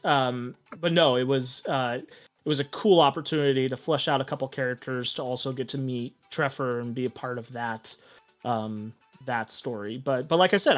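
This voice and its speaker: A man speaking as a podcast guest and game master, explaining his approach in a casual, reflective, conversational way. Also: mid-range voice. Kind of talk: casual, reflective interview chat.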